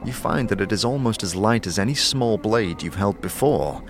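A male narrator with a lowish, very expressive voice tells a scripted fantasy tale. Soft music plays beneath his storytelling. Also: moderate pace.

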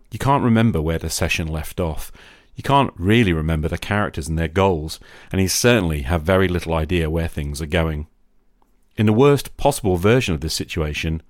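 Very deep-voiced middle-aged man hosting a podcast. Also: dry recording, studio quality. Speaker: podcast host. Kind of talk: reflective scripted monologue.

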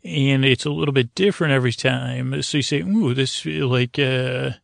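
Rambling man with a creaky voice. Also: mid-range voice, moderate pace, dry recording, studio quality. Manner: meandering, self-deprecating, whimsical, often trailing off and circling back. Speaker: host of a sleep podcast. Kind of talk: rambling, soothing bedtime monologue.

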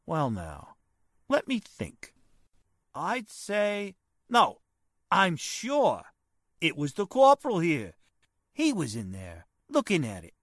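A man's voice: fairly high pitch, huge pitch swings, takes it slowly, long pauses, fairly bright tone, no room sound, studio quality, full character voice. A man playing a defensive working-class private eye in 1940s radio style, folksy and ungrammatical. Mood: pondering. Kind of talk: 1940s radio drama acting.

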